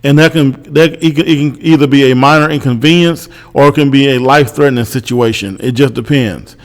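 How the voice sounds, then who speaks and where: An American man giving unscripted opinion commentary as a host, in a measured, explanatory way, often repeating himself. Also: medium pitch. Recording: long hall-like reverb.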